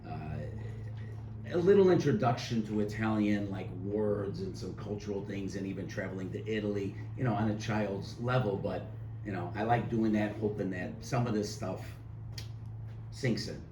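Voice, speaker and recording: A man who writes children's books answering questions in a relaxed, hesitant way with many fillers. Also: fairly low pitch, moderate pace. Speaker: children's book author. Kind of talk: interview answers. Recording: distant mic in an echoey room, noise.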